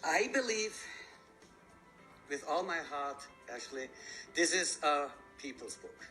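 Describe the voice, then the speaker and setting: A man in his fifties who grew up in Germany speaks earnestly as a guest author. His voice sits a little high and bright, and he picks his words with care. Faint music plays underneath. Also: animated, poor audio. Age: 50s. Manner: earnest, measured, slightly halting. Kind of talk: TV interview.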